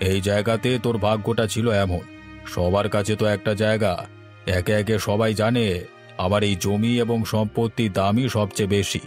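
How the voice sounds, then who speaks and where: A man's voice: lowish voice, steady tone, moderate pace, soft background music, close to an everyday voice. A man voicing an arrogant wealthy village landlord in a cartoon story, talking down to poor farmers with scorn and boasting. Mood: scornful. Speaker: voice actor. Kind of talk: Bengali cartoon story acting.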